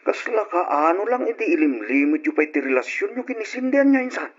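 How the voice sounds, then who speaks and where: Jovial drawling cousin type, highish voice, old radio drama, lofi w/ music behind. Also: male voice, sing-song, brisk, lo-fi sound. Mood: teasing, glad.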